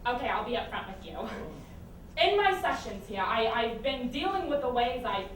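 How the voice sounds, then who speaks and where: A woman's voice: on the high side, sing-song, normal speed, a bit bright. An actress playing a guarded, neurotic therapy patient in a comic stage play, flustered and stammering as she talks. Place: stage performance at a college, distant microphone. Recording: echoey hall from far off, noticeable noise.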